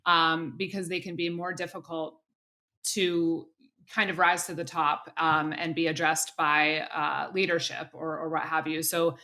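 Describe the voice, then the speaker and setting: A woman hosting an interview podcast, her voice a little low and notably bright. She reasons aloud thoughtfully, leaning on "you know" and restarting phrases as she goes. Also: level tone, unhurried. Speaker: podcast host.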